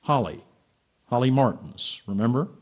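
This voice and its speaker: An actor playing a naive, stubborn, wry amateur sleuth in a radio drama. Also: male voice, medium pitch, lively intonation, moderate pace, a little mellow, no echo, lightly acted. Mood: gentle, wry.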